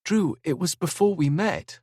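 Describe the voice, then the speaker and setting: A man's voice: on the high side, lively intonation, a little slow, darkish tone, husky, no echo, clean studio sound, pitched above the performer's own voice. A man voicing Zara, a composed young woman who speaks her mind steadily. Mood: firm. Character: young woman Zara, calm, firm, level-headed.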